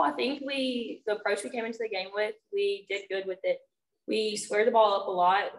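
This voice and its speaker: A young woman college softball player giving short, simple answers to reporters. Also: medium pitch, rough recording. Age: young adult. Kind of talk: press conference answers.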